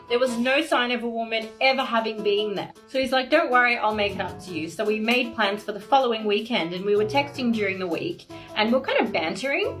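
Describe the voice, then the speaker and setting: Young female storyteller, slightly high pitch, casual and expressive slangy delivery, faint music underneath. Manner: expressive, acts out dialogue, slangy.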